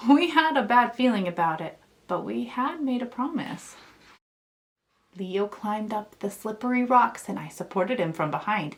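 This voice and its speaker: A woman reading a children's story aloud in an expressive storytelling style. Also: medium pitch. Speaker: children's author reading own book aloud.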